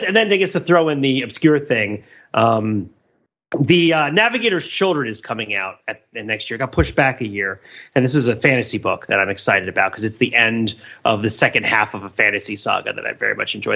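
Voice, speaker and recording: A man's voice: mid-range voice, fairly bright tone. Man, mid-range voice, geeky and keen, casual podcast chat, muffled lo-fi recording. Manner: enthusiastic, geeky. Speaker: podcast co-host. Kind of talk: casual pop culture chat.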